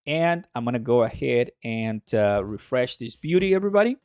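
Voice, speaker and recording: A man from Mexico, a native Spanish speaker with a Mexican accent, hosting a livestream in an excitable, hype-driven way and repeating phrases for emphasis. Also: medium pitch, moderate pace, clean tone, dry recording, studio quality. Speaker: livestream host. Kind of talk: excitable livestream commentary to viewers.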